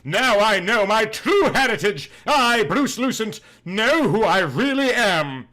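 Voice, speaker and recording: Man playing overwrought young husband, loudly melodramatic. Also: sits high, big pitch swings, takes it slowly, voice pushed up, full character voice. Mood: solemn resolve. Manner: overwrought, declamatory. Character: melodramatic, outraged young married man, self-pitying soap hero.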